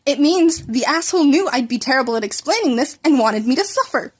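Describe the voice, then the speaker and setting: Irritable high-voiced teenage boy acted by a woman. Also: lively intonation, fairly quick. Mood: exasperated. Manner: grumbling, blunt. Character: irritable, foul-mouthed, exasperated teenage boy.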